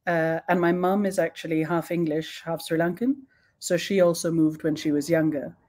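A young woman speaking as a guest expert in an online talk, thoughtful, pausing often with ums and restarting her sentences. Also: sits low, fairly even intonation, darkish tone. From born in Sweden, raised in several countries.

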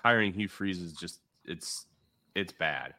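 Bright deep-voiced male podcast host. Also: measured pace. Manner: laid-back, loose, with frequent fillers. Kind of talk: casual chat.

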